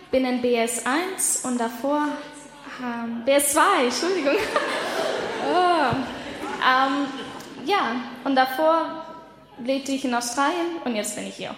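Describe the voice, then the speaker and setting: A woman's voice: sits high, a little slow, a bit bright. A woman, a Bible school student, introduces herself and announces an event, with a few hesitant restarts. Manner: hesitant, self-correcting. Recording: echoey hall from far off.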